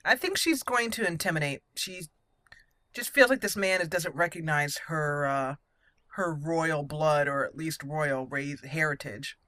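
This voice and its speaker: A woman's voice: low-pitched, measured pace, sharp tone, no room sound. A woman hosting a gaming video, chatting casually and thinking aloud as she roleplays. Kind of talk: casual roleplay game commentary.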